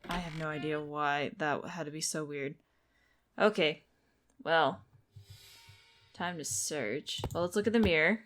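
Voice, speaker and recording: A woman's voice: low-pitched, a little slow, long pauses, a bit bright, a little hiss or hum. A woman gamer giving casual commentary on a playthrough, musing aloud and asking herself questions.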